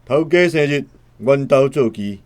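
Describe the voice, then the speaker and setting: A man's voice: medium pitch, animated, a little slow, a little mellow, lightly acted. An actor with a Taiwanese accent playing a lonely working-class man who mutters to himself in a radio drama. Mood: calling out. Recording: long reverb, echoing studio effect.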